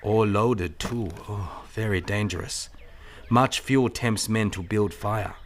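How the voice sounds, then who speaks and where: A man's voice: fairly low pitch, takes it slowly, background noise. A man playing a shrewd, courteous Chinese detective in an audio mystery drama, speaking in clipped formal phrases with dropped articles. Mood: shrewd, observant. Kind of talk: audio drama re-creation of 1930s mystery film.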